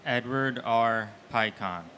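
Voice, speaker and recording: Man with a bright, forceful voice, reading names unhurriedly and solemnly at a memorial. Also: mid-range voice, slow pace, slight background noise, low-quality recording. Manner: steady and solemn. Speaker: name reader. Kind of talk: memorial ceremony name reading.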